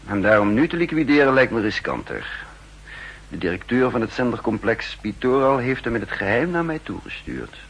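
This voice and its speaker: An actor playing a shrewd, calm spymaster in a 1960s radio play, speaking in a measured, deliberate way. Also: male voice, medium pitch, slightly rough, heavily acted. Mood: cool, calculating. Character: shrewd, calm male spy posing as counterintelligence chief. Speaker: lead character and narrator. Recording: hissy, humming 1960s radio recording.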